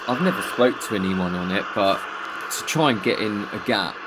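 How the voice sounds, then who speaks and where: Man over loud radio noise. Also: fairly low pitch, expressive, normal speed. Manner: informal, thinking aloud. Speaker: radio hobbyist presenting a video. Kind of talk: casual chat, showing and explaining radio gear. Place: indoors at home beside radio equipment. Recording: very noisy room, loud radio static.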